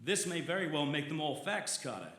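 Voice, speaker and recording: A man playing a confident, obsessive gentleman occult researcher in an old-time radio drama, with a smug, declamatory delivery. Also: on the high side, very animated, a little fast. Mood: zealous, determined. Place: theater stage, live performance. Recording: roomy live stage sound.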